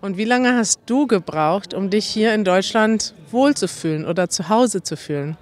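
A woman host presenting a topic upbeat and clearly outdoors at a meetup, holding a mic. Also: mid-range voice, animated, normal speed. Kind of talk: presenting to camera.

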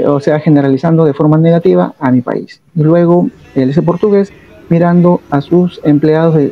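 A Peruvian man in his thirties telling a personal travel story straight to the camera, reflective and steady, often adding little tag questions. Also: on the high side, gravelly, dull audio. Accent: Peruvian Spanish. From Peru. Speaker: vlogger.

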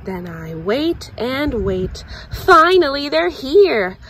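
A woman reading a children's picture book aloud in an expressive, deliberate storytelling manner. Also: high voice, a little hiss or hum, rough recording. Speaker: story time reader.

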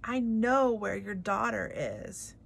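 A woman voicing a small, earnest and polite male mouse who speaks high and pleading. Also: animated, slow pace, gravelly, breathy edge, full character voice. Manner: timid but insistent, high and pleading.

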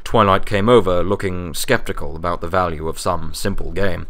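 A man's voice: sits low, normal speed, a bit bright. A man narrating a scripted fantasy story as an audiobook reader.

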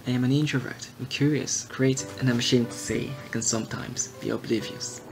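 A young man talks earnestly and clearly, with a gravelly edge to his voice. He speaks into an earphone mic, and music plays underneath. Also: mid-range voice, steady tone, fairly quick. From Cameroon. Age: young adult. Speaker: software engineering graduate. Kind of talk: prepared testimonial.

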